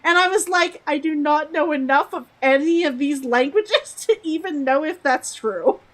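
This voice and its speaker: A woman's voice: sits high, moderate pace, fairly bright tone. A young woman streaming a game, chatty and giggly, repeating words aloud to test how they sound. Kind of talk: live game commentary.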